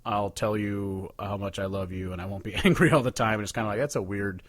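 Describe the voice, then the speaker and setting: A man's voice: lowish voice, brisk, no echo. A man co-hosting a podcast, chatting casually about songs in a rambling, profane way. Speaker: podcast co-host reviewing songs. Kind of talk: casual chat.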